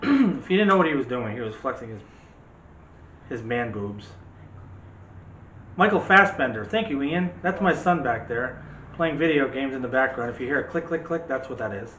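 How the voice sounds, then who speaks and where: A man's voice: medium pitch, lively intonation, moderate pace, pauses often, fairly bright tone. A middle-aged man co-hosting a movie channel in a casual, rambling chat with a teasing streak. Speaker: co-host of a movie review channel. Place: room at home. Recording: roomy echo, faint background noise.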